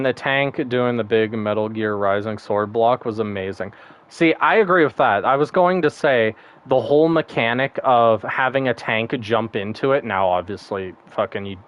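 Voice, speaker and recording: A man's voice: on the low side, highs cut off. A man streaming a game, chatting casually and rambling, laughing often and swearing now and then. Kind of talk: casual gaming stream chat.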